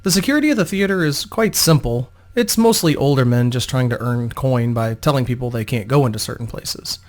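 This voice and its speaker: A man's voice: mid-range voice, lively intonation, brisk, close to an everyday voice. A man voicing a young female opera singer, polite, grateful and slightly anxious, in a storybook reading. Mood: worried, grateful.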